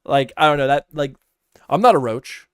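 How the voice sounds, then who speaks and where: Ranting man with a smooth, dry voice. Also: fairly high pitch, lively intonation, normal speed, no echo. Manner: ranting, exasperated, repeats himself. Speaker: reaction streamer. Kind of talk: heated casual commentary.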